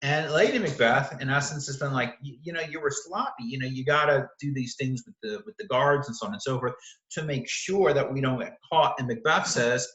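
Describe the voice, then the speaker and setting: A man's voice: mid-range voice, a little fast, a bit bright, echoey room, poor audio. A man teaching literature, walking through the text of a play and explaining it line by line, often correcting himself as he goes.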